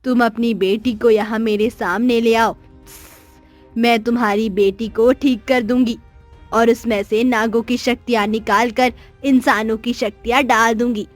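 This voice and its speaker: A woman voicing a stern but forgiving shape-shifting serpent queen in a cartoon fable, measured and commanding. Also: on the high side, steady tone, soft background music, voice pushed down, close to an everyday voice. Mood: commanding, benevolent. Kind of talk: Hindi cartoon moral story acting.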